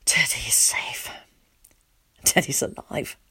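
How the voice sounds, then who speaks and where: A woman voicing a shaken, distraught father, narrating in a hushed, halting and tense way. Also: in a whisper. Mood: stunned relief.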